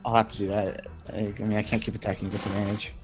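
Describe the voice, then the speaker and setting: A man's voice: lowish voice, little pitch movement, racing, soft background music. A man chatting casually as a player in a tabletop roleplay game. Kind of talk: casual chat.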